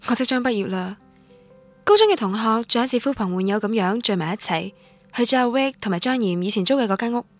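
A lonely young student narrates her memories in Cantonese. Her voice sits fairly high and she speaks in a reflective, literary radio drama manner. Music plays behind her. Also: no echo. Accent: Cantonese from Guangdong. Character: wistful young woman student recalling lost love, lonely.

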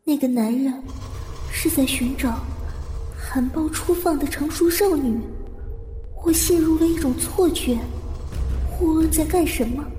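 A woman's voice: high voice, unhurried, long pauses, warm tone. A woman acting a haunted, anxious young woman who narrates her childhood trauma in a radio drama. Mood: brooding, uneasy. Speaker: voice actor and narrator. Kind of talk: first-person radio drama narration and dialogue. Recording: reverberant echo with music underneath. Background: music.

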